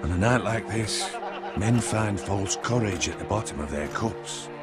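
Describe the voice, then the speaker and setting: An actor playing a proud middle-aged medieval lord in a fantasy game, speaking in a measured, formal, commanding way. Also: male voice, lowish voice, natural voice. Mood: calm, fatherly. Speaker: video game character actor. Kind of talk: cinematic fantasy game dialogue. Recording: game music behind the voice.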